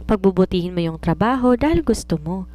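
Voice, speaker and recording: A woman's voice: medium pitch, animated, a little fast, dark tone, lightly acted. A woman voicing a confident romance writer in an audiobook, giving earnest, instructive advice like a mentor. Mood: preachy. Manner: earnest, instructive, self-assured. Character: confident, preachy woman romance writer advising her maid. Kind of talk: audiobook character dialogue.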